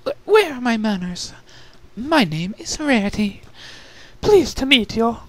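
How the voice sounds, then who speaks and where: A reader doing a high, genteel young pony girl. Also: big pitch swings, a little slow. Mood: sweet, polite. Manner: refined, polite, theatrical. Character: genteel, timid young unicorn pony girl, polite and dramatic.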